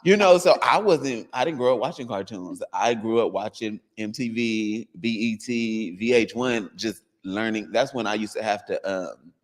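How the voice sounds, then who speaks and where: A man in his thirties from the United States, a dance coach and guest, telling his story in an animated way with laughs. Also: on the low side, moderate pace, few pauses, long reverb tail. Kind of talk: casual interview.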